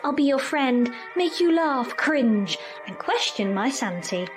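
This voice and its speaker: A woman's voice: sits high, sing-song, measured pace, music in the background. A woman reading a playful scripted promo for her radio show.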